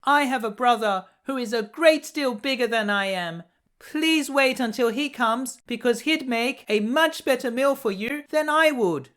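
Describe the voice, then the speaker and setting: A man's voice: very high-pitched, expressive, a little slow, a bit bright, voice pushed up, exaggerated voice. A man voicing a tiny, timid young billy goat in a storybook, small and thin in tone, pleading politely and fearfully. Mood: frightened pleading.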